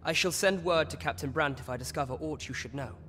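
High-voiced, earnest young prince. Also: male voice, fairly quick, slight background noise. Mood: resolved. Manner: hushed, formal, courteous. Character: earnest, polite young prince regent, secretive and courteous. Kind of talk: scripted fantasy game acting in archaic English. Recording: long hall reverb, music underneath.

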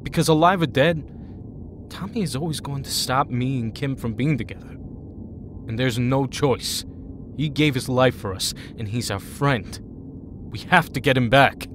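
An actor playing a brooding, wounded teenage team leader in an audio drama, moody and halting. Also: male voice, medium pitch, a bit bright, slight background noise, natural voice. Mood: bitter, defensive. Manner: moody, hesitant, curt.

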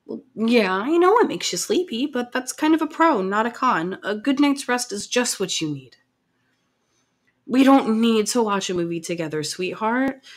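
A woman playing a caring, gently bossy partner in an ASMR roleplay, coaxing and affectionate but firm with the listener. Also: on the high side, lively intonation, normal speed. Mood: soothing, coaxing.

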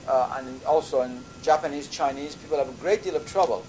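An older man, a native Persian speaker from Iran, giving a measured university lecture with thoughtful pauses. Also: medium pitch, a little mellow, soft background music, rough recording. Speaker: professor. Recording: lecture recording with audible background noise.

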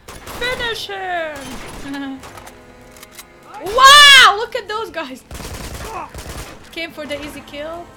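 Young woman gaming live on a headset, high voice swinging all over, kinda hoarse, yelling at the screen. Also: very slow, long pauses, music in the background. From Bosnia and Herzegovina. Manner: excitable, shouts and laughs at the action. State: voice partly lost. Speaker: streamer playing a game live. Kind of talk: live game commentary.

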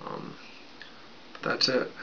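Raspy man rambling slowly on webcam. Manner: rambling, lots of fillers, drinking on camera. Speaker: vlogger. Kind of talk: casual show-and-tell vlog. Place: small bedroom indoors. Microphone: webcam at desk distance. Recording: dull lo-fi sound with background noise.

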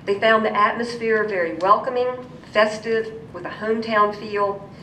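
A woman's voice: steady tone, moderate pace, pushed and bright. A middle-aged American woman reading a prepared statement as a citizen at a meeting. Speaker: citizen speaking at public comment. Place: indoor council meeting room. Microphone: gooseneck podium microphone. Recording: echoey room, distant mic, faint rumble.

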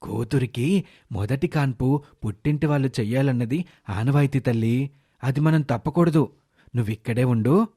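A man's voice: mid-range voice, moderate pace, pauses often, dark tone, husky. A man voicing a loving, protective middle-aged father, earnest and affectionate, in a moral story.

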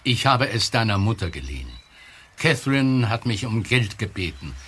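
An actor in a German audio drama playing a gruff, evasive middle-aged uncle, curt and hesitant when pressed. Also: male voice, lowish voice, very animated, normal speed, a bit bright, a little hiss or hum. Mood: reluctant, weary.

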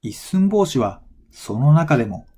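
A man reading a folktale as a scripted narration for language learners, in measured, clearly phrased storytelling. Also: medium pitch, very animated, darkish tone.

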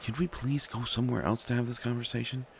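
A man's voice: mid-range voice, fairly even intonation, fast, creaky, a little hiss or hum, close to an everyday voice. A man playing an anxious, stammering young prince who is reluctant to become king, in a roleplay audio drama. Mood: pleading, despondent. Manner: flustered, hesitant, stammering, sighing. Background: faint music.

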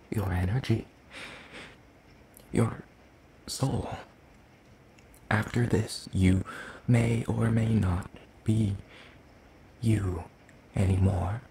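Hungry soul-eating demon for ASMR roleplay, played by a man: soft, low, creaky, halting, sinister yet apologetic. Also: a little hiss or hum, heavily acted. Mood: sinister, savoring. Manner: slow, halting, hushed delivery with deliberate pauses.

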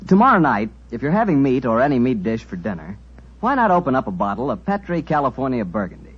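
A man hosting a 1940s radio program, delivering a friendly, persuasive wine pitch and questioning a guest. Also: very animated, fast, breathy edge. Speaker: program host and wine announcer. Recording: dull lo-fi old radio broadcast.

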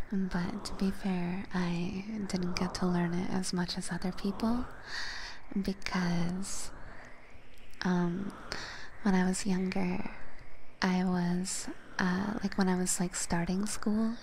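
A woman whose first language is Hungarian, whispering softly to her viewers in an ASMR chat stream on a binaural mic, halting now and then with fillers. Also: lowish voice, flat delivery, vocal fry, a little hiss or hum, rough recording. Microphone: binaural microphone.

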